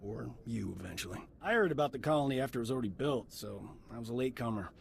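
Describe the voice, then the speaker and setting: Roguish charmer doctor for a game: cocky, glib, theatrical, mid-pitched voice. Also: male voice, lively intonation, fast talker, vocal fry, slight background noise. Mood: wry. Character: cocky, flirtatious male army-camp doctor, roguish charmer. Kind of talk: video game cutscene acting.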